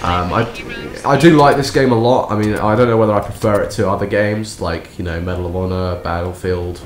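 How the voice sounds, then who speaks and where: A young man, croaky and nasal from a cold, rambling through a sarcastic gameplay commentary. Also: on the low side, a little fast, faint music behind. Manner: rambling, sarcastic, many restarts. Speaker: gaming commentator. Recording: echoey room with faint game sound.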